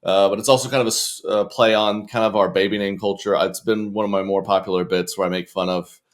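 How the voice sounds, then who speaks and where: A man's voice: lowish voice, steady tone, fast talker. A man, a young adult, chatting casually as an interview guest in a rambling, stop-start, joking way. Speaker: comedian and children's author, interview guest.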